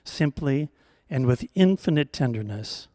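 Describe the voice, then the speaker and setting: A man telling a scripted story as a storyteller, in a measured, gently humorous way. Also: medium pitch, expressive, dry recording, studio quality.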